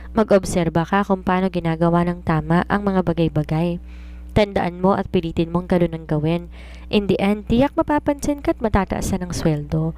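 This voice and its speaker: A confident woman writer in a story, played by a woman narrator, with a slightly low voice. She is earnest and preachy, explaining things in a self-assured, instructive way. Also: warm tone, lightly acted. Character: confident, preachy woman romance writer advising her maid. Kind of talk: audiobook character dialogue.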